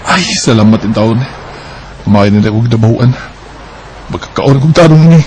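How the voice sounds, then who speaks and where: A man's voice: lowish voice, lively intonation, a little fast, a little mellow, soft background music, lightly acted. A man with a Bisaya accent playing a weary, plain-spoken young labourer in an amateur radio drama. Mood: relieved.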